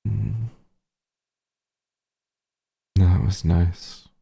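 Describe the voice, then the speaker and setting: A man as a gravelly, low-voiced tender monster hunter. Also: steady tone, heavily acted. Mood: relieved, affectionate. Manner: halting, emotional, gentle. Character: werewolf hunter, tender and remorseful, reunited with lost friend. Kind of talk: roleplay audio drama, intimate monologue to listener.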